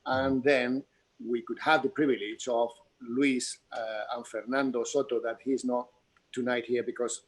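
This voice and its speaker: Man, Spanish accent, mid-range pitch, theatre director, hesitant Q&A answer with restarts. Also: little pitch movement, unhurried, poor audio. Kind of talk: Q&A discussion.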